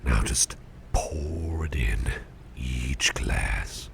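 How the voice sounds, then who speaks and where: Man acting as a very deep, whispering, caring boss. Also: heavily acted. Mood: reassuring, unhurried. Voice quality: breathy near-whisper with heavy low creak. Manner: gentle, unhurried, reassuring, hesitant pauses. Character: caring, protective male boss tending to his employee. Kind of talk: soft-spoken whispered ASMR roleplay. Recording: close recording with clear background noise.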